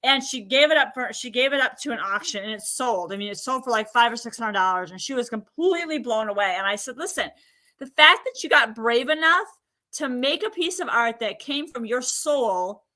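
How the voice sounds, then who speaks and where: A woman guest in a casual talk-show chat, speaking in a lively way and acting out what others say. Her voice is high and bright, with a sharp edge to it. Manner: animated, acts out quoted speech.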